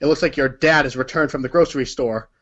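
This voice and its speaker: A man's voice: medium pitch, quick pace, fairly bright tone, highs cut off. A man narrating a story aloud as if from a storybook, sometimes stumbling over words and correcting himself. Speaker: narrator of a group readers' theater.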